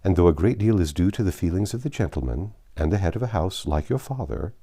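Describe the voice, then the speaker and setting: A man narrator puts on an earnest, well-bred lady from a classic novel. The delivery is measured and persuasive, with animated intonation. The tone is mellow and husky, decorous throughout. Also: low-pitched. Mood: earnest, firm. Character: earnest, principled genteel English lady advising a friend. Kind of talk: storybook character dialogue in a classic novel reading.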